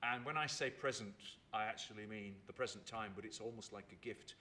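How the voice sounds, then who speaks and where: A man in his fifties, from England, telling stories about his upbringing in an unhurried way and repeating words for emphasis, recorded in a theatre. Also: fairly low pitch, pushed and bright, slight background noise. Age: 50s. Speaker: storyteller and writer. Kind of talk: reflective storytelling chat.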